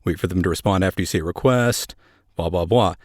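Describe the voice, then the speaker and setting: A man's voice: low-pitched, brisk, no echo, studio quality. A man hosting a podcast, delivering scripted narration in a conversational way with wry asides. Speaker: podcast host; air traffic controller.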